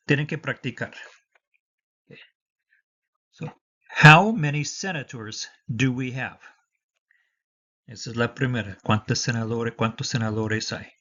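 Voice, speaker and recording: Guy teaching citizenship test prep, slow and patient, mixes spanish and english, kinda dull audio. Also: mid-range voice, a bit bright.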